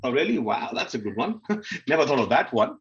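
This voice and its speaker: A man's voice: mid-range voice, very animated, a little fast, a bit bright, highs cut off. A man speaking candidly and informally as a panel guest, often voicing his inner dialogue in a conversational way. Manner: informal, self-questioning, acts out inner dialogue. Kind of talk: candid conversational panel talk about personal experience.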